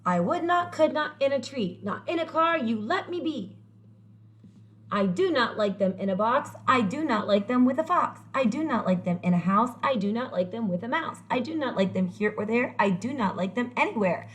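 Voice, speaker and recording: Stubborn, fussy storybook grouch, emphatic and theatrical, fairly high-voiced played by a woman reading aloud. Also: animated, moderate pace, lightly acted. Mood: exasperated. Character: stubborn, fussy, exasperated grouch refusing food. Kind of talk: animated children's read-aloud character voice.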